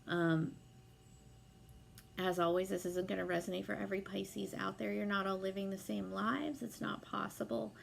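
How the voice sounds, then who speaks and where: Hoarse, gravelly-voiced sick woman. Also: mid-range voice, level tone, moderate pace, slight background noise. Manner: chatty, frequent fillers, casual swearing. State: sick, losing her voice. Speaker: tarot reader. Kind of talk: casual tarot reading to camera.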